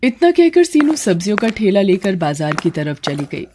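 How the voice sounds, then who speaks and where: A woman's voice: medium pitch, very animated, moderate pace, background noise. A woman narrating a scripted story as storyteller.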